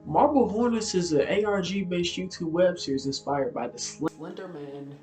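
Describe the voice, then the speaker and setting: A man's voice: high-pitched, normal speed, darkish tone. A man hosting an online video in a casual explainer style, informal and offhand, sometimes stumbling over his words. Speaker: YouTube video host. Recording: roomy echo, music behind. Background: background music.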